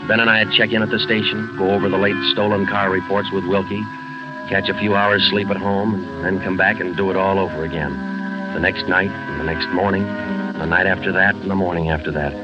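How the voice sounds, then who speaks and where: Man acting a low, deadpan 1940s radio police sergeant. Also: brisk, over music, lightly acted. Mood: grim, matter-of-fact. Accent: American. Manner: clipped, deadpan, matter-of-fact. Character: terse, businesslike, dogged male police sergeant. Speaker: lead actor and narrator. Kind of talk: 1940s radio police drama acting and clipped scripted narration. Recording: dull vintage 1940s radio recording.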